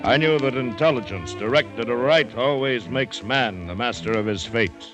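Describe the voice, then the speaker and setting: An actor playing a stubborn, self-assured plantation owner with emphatic delivery, in an old-time radio adventure drama. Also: male voice, medium pitch, normal speed, close to an everyday voice, low-quality recording. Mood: proud, defiant. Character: supremely confident, smug, stubborn male plantation owner. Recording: vintage radio recording with music.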